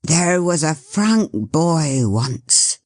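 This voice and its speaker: A woman reading the part of a grown man, a carrier, who speaks in a slow, grave, reproachful way. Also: deep voice, sing-song, darkish tone, no echo, studio quality, heavily acted.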